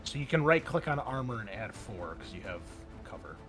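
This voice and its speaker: A man's voice: mid-range voice, very animated, fairly quick. Casual male game master over music. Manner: informal, wry asides, swears casually. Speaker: game master and host of an actual-play show. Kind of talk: casual tabletop game narration. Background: background music.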